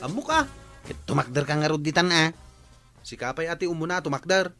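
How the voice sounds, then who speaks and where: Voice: medium pitch, a little fast, over music, close to an everyday voice. A performer with a Filipino Ilocano accent playing a stubborn, bickering villager in a radio comedy. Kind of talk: comedic radio drama acting.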